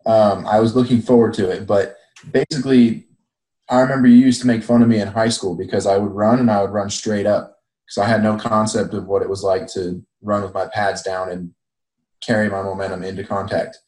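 A young man, a college football player, calmly recounting his story in a casual interview. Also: lowish voice, fairly quick, reverberant, rough recording. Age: young adult.

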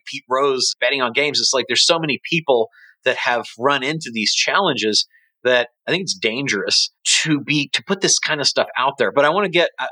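Bright-voiced man hosting a business podcast. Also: mid-range voice, no echo, rough recording. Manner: rambling, self-correcting. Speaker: podcast co-host. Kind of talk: casual business podcast chat.

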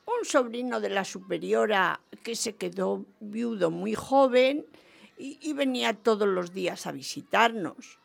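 An actress playing a gushing, rambling ninety-two-year-old widow who calls a radio quiz, in a comic radio play. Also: female voice, mid-range voice, measured pace, vocal fry. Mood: reminiscing, chatty. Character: chatty, lively 92-year-old widowed former nun and teacher. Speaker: quiz show caller. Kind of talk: comic radio theatre acting.

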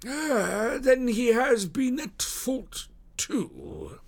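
A man voicing a downcast, deferential police detective in an audiobook, speaking formally in a slow, drawn-out, confidential manner. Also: sits high, huge pitch swings, fairly bright tone, slightly rough, voice pushed up, exaggerated voice. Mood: satisfied, eager. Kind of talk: dramatised audiobook character voice.

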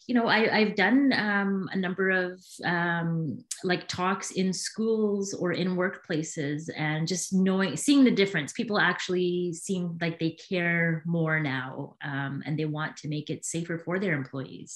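A woman in her thirties speaking as a panel guest, hesitant with many pauses. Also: on the low side. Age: 30s. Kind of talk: panel discussion. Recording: roomy echo.

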